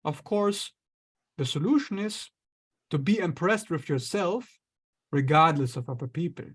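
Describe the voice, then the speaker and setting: A man, an astrologer guest, explaining ideas in a relaxed conversational way, sometimes acting out inner voices. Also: fairly high pitch, measured pace, husky. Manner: explains with acted-out inner voices and light laughs.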